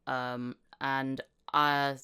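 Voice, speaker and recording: A woman's voice: rumbling low, little pitch movement, extremely slow, pushed and bright, clear, resonant tone. A woman hosting a podcast in a casual, chatty interview style. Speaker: podcast host, voice actor.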